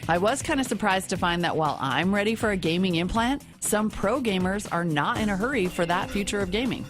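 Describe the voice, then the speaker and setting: A woman's voice: mid-range voice, lively intonation, fairly quick. A woman host narrating a scripted report in a wry, opinionated way. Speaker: show host. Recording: music bed underneath.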